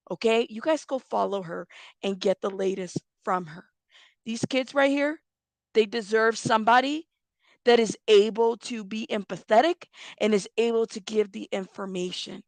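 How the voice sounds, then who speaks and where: A woman's voice: a little high, no room sound. Blunt, profane woman ranting. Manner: blunt, profane, repetitive, emphatic. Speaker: commentary channel host. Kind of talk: heated commentary rant.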